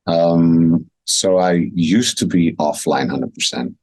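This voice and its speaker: A man's voice: sits low, takes it slowly, a little mellow, husky, no echo, poor audio. A man in his thirties from Switzerland, talking casually and reflectively as a coach being interviewed. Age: 30s. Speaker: interview guest, wellness and movement coach. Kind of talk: casual conversation.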